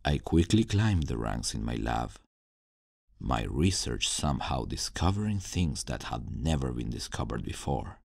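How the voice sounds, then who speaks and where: A man playing a brooding, menacing yet regretful villain scientist in a dramatic ASMR roleplay, slow and deliberate with a gravelly voice. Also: very animated, darkish tone, dry recording, studio quality, heavily acted. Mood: wistful. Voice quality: very deep, gravelly.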